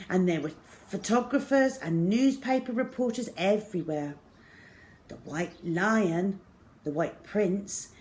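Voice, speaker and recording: A woman's voice: on the low side, darkish tone. A middle-aged woman reading a children's story aloud expressively, indoors in a room. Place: small plain room indoors.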